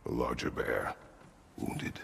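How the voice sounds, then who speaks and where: A man's voice: slow pace, a bit bright, in a large hall, a little hiss or hum. An actor playing a stern, battle-hardened middle-aged warrior father in a video game, growling in terse, clipped phrases. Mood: grim, observant. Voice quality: deep, gravelly growl. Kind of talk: cinematic video game acting.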